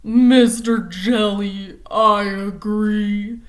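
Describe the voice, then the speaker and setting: A woman voicing a glum, gloomy male fish with a pout, in a slow, drawn-out, mournful singsong from a picture book read-aloud. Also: medium pitch, steady tone.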